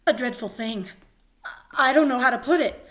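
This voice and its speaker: A woman's voice: on the high side, normal speed, a bit bright, lightly acted. A woman voicing a frightened male soldier who rambles nervously and stammers. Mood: flustered. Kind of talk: dramatic reading of a play.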